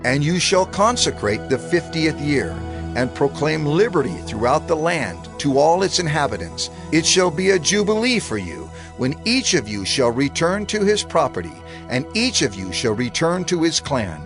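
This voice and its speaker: A man reads scripture aloud in a measured, declamatory way, his pitch rising and falling expressively. Clear music plays underneath the whole reading. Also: big pitch swings. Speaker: scripture reader.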